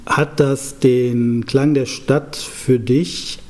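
A man interviewing a guest, asking questions in a relaxed and informal way. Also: mid-range voice, very slow.

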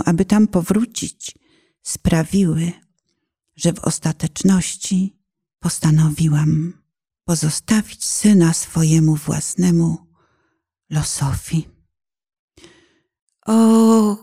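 Solemn, mellow middle-aged woman narrating. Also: on the low side. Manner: solemn, literary, theatrical delivery. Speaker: narrator of a personal conversion testimony. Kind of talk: scripted dramatic narration in a poetic radio piece.

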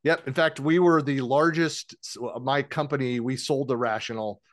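A man's voice: mid-range voice, fairly even intonation, moderate pace. A man hosting an interview podcast, talking casually and rambling a little. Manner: rambling, many fillers and restarts. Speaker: podcast host.

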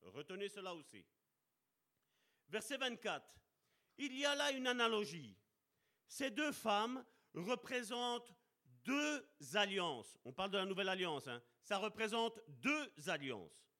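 Male preacher, high voice, lively swooping delivery, impassioned sermon, drawn-out emphasis. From Italian heritage. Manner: impassioned, addresses congregation as brother and sister; slows down to stress words.

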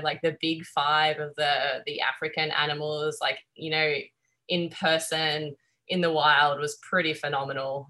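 A woman's voice: deep voice, sharp tone. A woman with an Australian accent talking in a chatty, enthusiastic way as a guest in a podcast interview. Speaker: guest, veterinary surgeon and professor.

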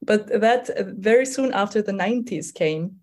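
A woman in her forties whose first language is Albanian, speaking English as a playwright guest in an unhurried, hesitant way, with many fillers and restarts. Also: mid-range voice, animated, darkish tone. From Kosovo. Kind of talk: conversational interview.

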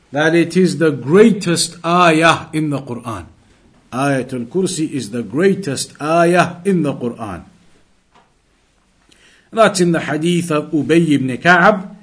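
A man giving a religious lesson as a teacher, reading out texts and explaining them didactically, with key phrases repeated for emphasis. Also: on the high side.

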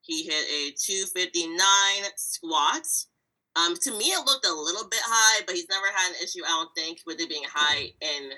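Bright, lower-pitched woman co-host. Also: animated, poor audio. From Virginia. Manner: chatty, quick predictions with "like" fillers. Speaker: podcast co-host. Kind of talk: casual sports podcast chat.

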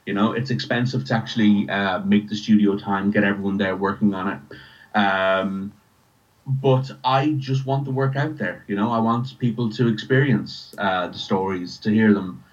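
Irish male interview guest, lowish voice, casual, stop-start delivery, lo-fi sound. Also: steady tone, normal speed. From Ireland. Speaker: writer and podcast creator, interview guest.

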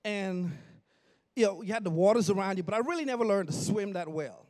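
A man in his forties from the Bahamas, preaching to his church in a storytelling style, joking with the congregation and now and then breaking into shouts. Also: sits high, fairly quick. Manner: animated, jokes with congregation, bursts into shouts. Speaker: pastor. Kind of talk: storytelling sermon. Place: church sanctuary.

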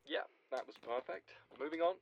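A businesslike studio engineer in a radio drama, giving clipped instructions. Her voice is fairly low and lively in pitch, with slightly muffled sound. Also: a little fast, gravelly, heavily acted. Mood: curt.

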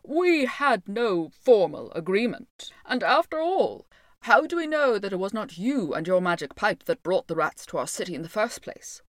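A woman's voice: medium pitch, sing-song, moderate pace, no echo, clean studio sound, lightly acted. A woman voicing a pompous, selfish male Lord Mayor in a storybook tale, blustering and exclamatory. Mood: pompous, dismissive. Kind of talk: storybook character voice.

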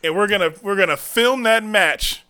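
Bright-voiced laughing male podcast host. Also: high-pitched. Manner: loud, laughing, fast joking delivery. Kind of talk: casual comedy podcast banter.

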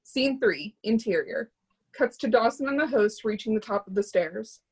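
A woman's voice: a little high, animated, normal speed, a little mellow, slightly rough, poor audio. A woman reading stage directions as scripted narration for a readers theatre drama.

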